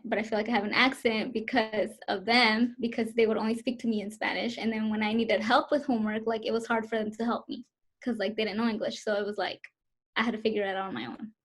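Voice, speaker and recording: Hesitant young woman on a video call. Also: on the high side. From Southern California, US. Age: 20s. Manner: hesitant, many fillers. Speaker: interviewee. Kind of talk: casual interview answers.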